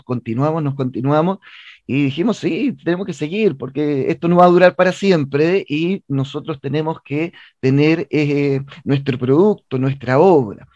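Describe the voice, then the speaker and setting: Higher-pitched Chilean man, dull dry sound. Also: a little mellow, no echo. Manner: hesitant, searching for words, repeating words. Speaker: interview guest, theatre director and playwright. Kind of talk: conversational radio interview.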